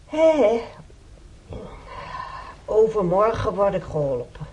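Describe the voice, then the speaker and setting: An actress playing a chatty, naive, self-concerned hospital patient in a radio play, speaking emphatically. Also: female voice, medium pitch, sing-song, slow pace, darkish tone, husky, full character voice. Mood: contented, puzzled. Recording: faintly noisy radio play recording.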